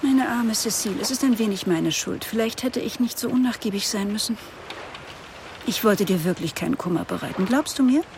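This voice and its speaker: A woman's voice: medium pitch, fairly quick, close to an everyday voice. An actress playing a principled, stern but caring stepmother figure, earnest and controlled, in a radio drama. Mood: remorseful, pleading. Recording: clear background noise.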